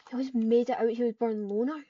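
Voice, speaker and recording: A woman's voice: a little high, steady tone, quick pace, mellow, dry recording, close to an everyday voice. Woman acting out a scornful, hot-tempered cat, muffled. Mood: angry, accusing. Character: accusing, hot-tempered cat in a warrior-cat game. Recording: muffled lo-fi mic, distant.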